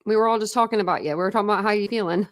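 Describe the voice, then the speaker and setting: A woman's voice: medium pitch, dry recording. American woman rambling on a true crime stream, midwest-southern mix accent, dry close sound. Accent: mixed Midwest and Southern American. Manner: chatty, rambling, quick asides with laughs. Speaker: true crime livestream host. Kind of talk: casual livestream chat reading comments.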